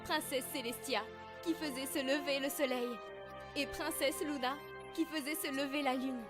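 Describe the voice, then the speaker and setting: A woman's voice: high-pitched, steady tone, moderate pace, natural voice. A woman voicing an affectionate, chatty pony mother in a French cartoon fandub, cheerful and doting. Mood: gentle storytelling. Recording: studio dub with faint music.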